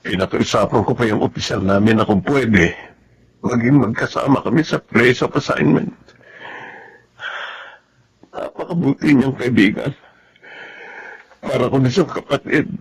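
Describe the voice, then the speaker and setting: Slow, husky, earnest young Filipino soldier. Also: male voice, mid-range voice, rough recording. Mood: wistful. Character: devoted young soldier husband and father, sincere, homesick. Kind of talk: Filipino radio drama acting.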